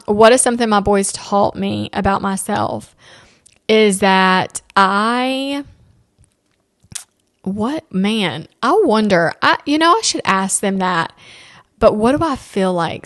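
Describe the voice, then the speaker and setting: A woman's voice: medium pitch, a bit bright, dry recording, studio quality. A woman in her thirties hosting a casual solo podcast, chatty and candid, with playful asides. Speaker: podcast host.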